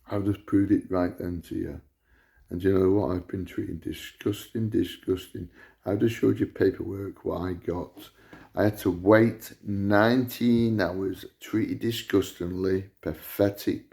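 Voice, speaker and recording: A man with a loud voice, delivering an indignant, repetitive rant to camera as a vlogger. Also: sits low, gravelly. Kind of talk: ranting monologue to camera.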